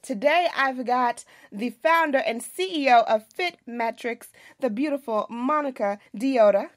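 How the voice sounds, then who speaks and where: A woman's voice: high voice, unhurried. A woman hosting an upbeat interview podcast, addressing listeners and her guest. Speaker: podcast host.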